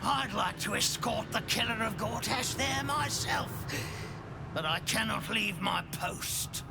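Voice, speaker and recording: A courteous devilish jailer from a video game, high-voiced and creaky. He drawls with genteel, theatrical relish. Loud music plays behind him. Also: slow, exaggerated voice. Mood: polite, sly. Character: devilish male former torturer, now courteous gatekeeper.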